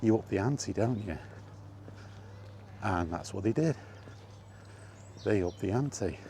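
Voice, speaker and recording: A man's voice: fairly low pitch, lively intonation, slow pace, mellow. A man giving a casual, conversational monologue as a vlog host, often throwing in rhetorical questions and asides. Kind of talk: casual film chat monologue. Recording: clear background ambient noise.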